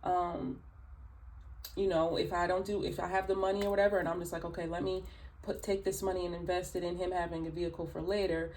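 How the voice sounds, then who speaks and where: Raspy early-morning woman reading tarot. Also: on the low side, level tone, fast, a little mellow. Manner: rambling, chatty, frequent fillers and asides. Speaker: tarot reader. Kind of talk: casual spoken tarot reading.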